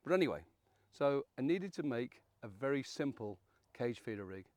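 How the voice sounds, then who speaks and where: A man around seventy, croaky and hoarse from illness, hosting a fishing channel and walking through a rig in a chatty, folksy, self-deprecating way. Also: medium pitch, very animated, moderate pace, a little mellow, no room sound. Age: 70s. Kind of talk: casual how-to demonstration.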